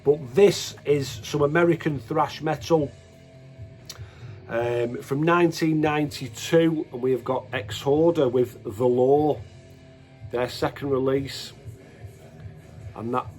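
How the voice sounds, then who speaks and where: A man's voice: mid-range voice, slow, pauses often, a little mellow, soft background music. A man giving casual, unscripted show-and-tell commentary as a host, in a hesitant, matter-of-fact way, often correcting himself. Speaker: host of a music collection video.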